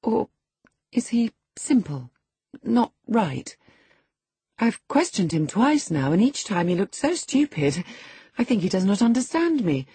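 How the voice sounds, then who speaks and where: A spirited young girl asks pointed questions in a high, bright register, as a man voices her. The voice stays breathy and husky. It is recorded dry and close. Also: sing-song, a little slow, long pauses, no room sound, full character voice. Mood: curious. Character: lively curious teenage girl.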